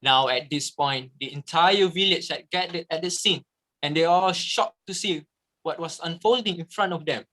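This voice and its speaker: A man narrating a story as a scripted dramatic reading, with theatrical, deliberate emphasis. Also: a little high, sharp tone, poor audio.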